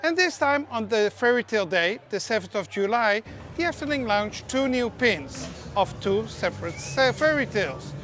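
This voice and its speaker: A woman's voice: a little high, expressive, normal speed, a bit bright, a little hiss or hum. Chatty woman. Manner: chatty, opinionated, small slips and restarts. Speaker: theme park news presenter. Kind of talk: casual news vlog narration.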